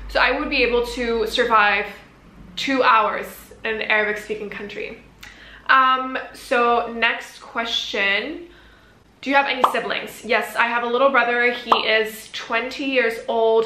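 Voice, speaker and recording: A young woman in her twenties from Belgium with Dutch as her first language talks casually to the camera. Her voice is crisp and bright and sits a little high. The sound is a bit echoey, like a room at home. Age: 20s. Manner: chatty, animated hand gestures. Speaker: vlogger. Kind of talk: Q&A vlog, casual chat to camera.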